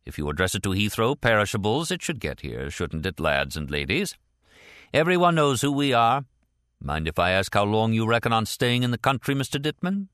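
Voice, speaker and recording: A man voicing a suspicious, mock-polite customs supervisor with a dry, deadpan interrogating manner. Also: lowish voice, normal speed, dry recording.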